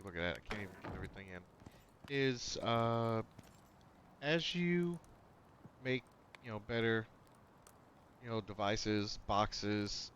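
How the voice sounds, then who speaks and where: A man with a slightly nasal, somewhat low voice talks through a game in a loose, rambling way, full of "uh"s and restarts. He speaks into a noise-cancelling headset, with a faint hum of noise behind him. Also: a bit bright. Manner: rambling, with many fillers and restarts. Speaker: gaming channel host. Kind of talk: casual gameplay commentary.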